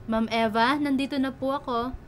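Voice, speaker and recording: A woman's voice: high voice, steady tone, moderate pace, slight background noise, natural voice. A woman playing a protective, loving, humble mother in a radio drama. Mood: friendly, modest. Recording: music bed behind the voice.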